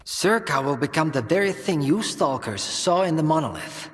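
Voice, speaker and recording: An actor playing an evasive, idealistic scientist in a video game, speaking in a measured, persuasive, clinical way. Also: male voice, a little high, expressive, close to an everyday voice. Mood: visionary. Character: evasive, idealistic male scientist doctor reassuring a soldier. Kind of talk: dramatic video game dialogue. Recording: long reverberant hall echo.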